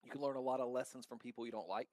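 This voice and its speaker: Loud, blunt, outspoken man. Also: medium pitch, little pitch movement, racing, dry recording. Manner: outspoken, blunt, swears freely. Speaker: crypto token founder. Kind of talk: casual podcast chat.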